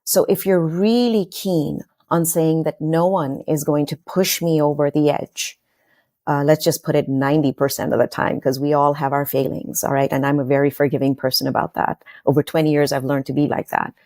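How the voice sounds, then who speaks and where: Low-voiced woman sharing her story. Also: steady tone, normal speed, mellow, no room sound, clean studio sound. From Kenya. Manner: reflective, earnest, with frequent fillers and restarts. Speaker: podcast guest. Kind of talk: candid personal storytelling.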